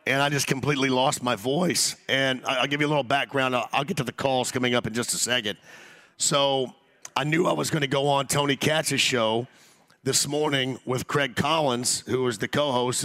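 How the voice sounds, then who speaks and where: Man, hoarse voice, bright edge, sports radio host, rambling. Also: medium pitch. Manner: loose, rambling with restarts. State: hoarse, lost voice. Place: radio studio at a restaurant.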